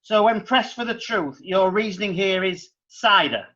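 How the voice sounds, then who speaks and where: A stern, sarcastic judge who mocks the people before him, played by a man. His voice sits high and sounds dull and lo-fi, as if from a modest recording. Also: normal speed, bright, forceful tone. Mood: scornful. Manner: scornful, dry, mocking. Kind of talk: amateur radio drama.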